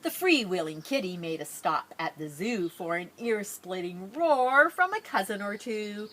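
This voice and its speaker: A woman reading a children's picture book aloud expressively, with drawn-out dramatic phrasing and playful asides. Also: mid-range voice, lively intonation, pushed and bright, slight background noise. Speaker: storybook reader.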